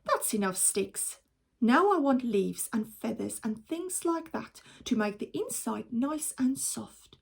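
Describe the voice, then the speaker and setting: Determined father figure for a children's tale, read by a woman: firm, slow, emphatic, takes charge. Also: fairly high pitch, big pitch swings, gravelly, breathy edge, heavily acted. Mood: satisfied, instructing. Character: tiny father, practical, bossy, determined leader.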